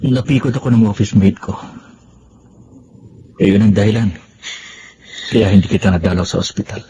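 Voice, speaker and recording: A man playing a guilty, apologetic young boyfriend who speaks haltingly in a radio drama. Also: on the low side, mellow, lightly acted. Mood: guilty, hesitant. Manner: hesitant, halting. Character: guilty, apologetic boyfriend pleading with his betrayed sweetheart. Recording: dull sound with faint noise.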